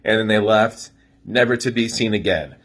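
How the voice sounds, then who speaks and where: A young American man casually telling a story in an animated way, swearing freely and acting out dialogue. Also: on the low side, normal speed. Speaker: storyteller giving dating advice.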